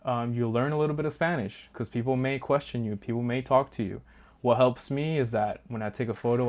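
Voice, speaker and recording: A man at an ordinary middle pitch talks casually, like he's walking a friend through a slideshow of his street photos. He wanders off track and restarts his sentences, filling the gaps with easygoing ums. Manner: relaxed and rambling, many ums and restarts. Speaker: street photographer and channel host.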